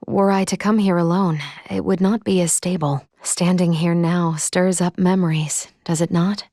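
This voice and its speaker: An actress playing a calm, analytical android companion in a video game, gently caring, with formal, precise diction. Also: female voice, lowish voice, normal speed, no echo, clean studio sound. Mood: tender, reflective. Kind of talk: video game character dialogue.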